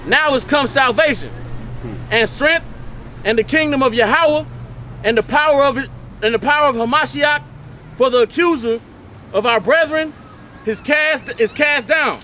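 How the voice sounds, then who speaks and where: A man with a strikingly high, forceful voice declaims Bible verses in short, separate phrases. He reads aloud outdoors, with some street noise behind him. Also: normal speed, pauses often. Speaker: scripture reader assisting a street preacher. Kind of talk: loud, emphatic Bible reading aloud.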